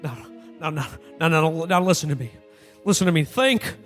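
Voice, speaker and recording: A man's voice: on the high side, animated, moderate pace, soft background music, lightly acted. A man playing an earnest, indignant everyman in a parody radio play, stammering and exasperated in a folksy old-movie manner. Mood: desperate, angry. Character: flustered, indignant earnest everyman podcaster hero.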